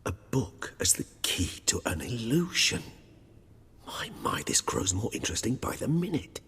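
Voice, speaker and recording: An actor playing an erudite, wry older man in a video game cutscene, eloquent and measured in his wording. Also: on the low side, huge pitch swings, gravelly, a bit bright, in a whisper, big-room sound, exaggerated voice. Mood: intrigued, pensive.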